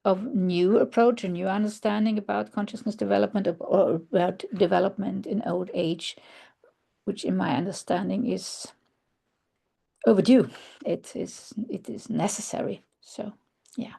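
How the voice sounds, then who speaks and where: A woman with a German accent, a non-native English speaker, giving an online conference presentation in a halting, thoughtful way, with many fillers and restarts. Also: medium pitch, steady tone, creaky, warm tone, slightly rough. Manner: thoughtful, searching for words. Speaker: researcher presenting.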